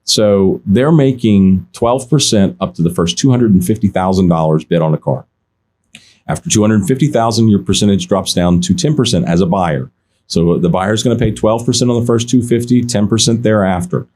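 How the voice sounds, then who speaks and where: A man who works in car auctions, telling stories in a relaxed podcast chat, often restarting his sentences and quoting other people. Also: on the low side, moderate pace. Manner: animated anecdotes, many restarts, quotes others. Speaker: auction insider guest. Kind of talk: casual podcast storytelling.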